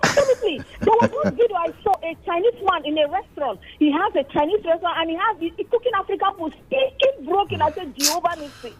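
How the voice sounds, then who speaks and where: A woman's voice: extremely high pitch, animated, quick pace, hardly any pauses, poor audio. A woman with a Nigerian accent calling a radio show, chatting and telling stories with exclamations. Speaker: caller. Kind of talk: casual phone-in chat.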